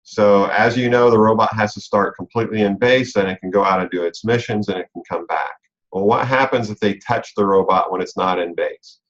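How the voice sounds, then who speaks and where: Low-voiced American man, dull call audio. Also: brisk, a bit bright. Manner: conversational, explanatory, talks through rules step by step. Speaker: robotics coach leading a coaches conference call. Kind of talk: informal instructional explanation.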